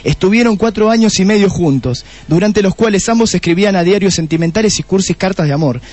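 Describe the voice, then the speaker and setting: Casting: cocky Argentine sleuth, high-pitched, self-satisfied, theatrical radio-play delivery. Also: male voice, a little mellow, no echo. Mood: triumphant, explaining. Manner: self-satisfied, explaining with dramatic pauses. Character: cocky young male police detective, smug and theatrical. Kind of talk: comedic radio drama acting.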